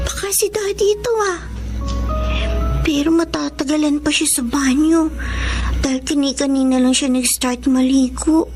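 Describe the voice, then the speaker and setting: Young girl, high voice, unhurried, innocent and wistful, drama monologue, prominent music behind. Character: lonely, innocent young daughter, a wistful child. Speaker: voice actor. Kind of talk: Filipino radio-style drama acting, thinking aloud. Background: music under the voice.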